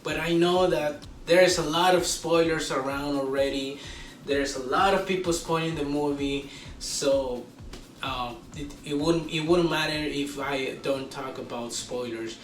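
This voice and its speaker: A man hosting a casual, unscripted movie review, talking like an excitable fan with drawn-out exclamations. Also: on the high side, recorded from a distance, soft background music. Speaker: host of a movie review show. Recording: roomy echo, off-mic, faint background noise.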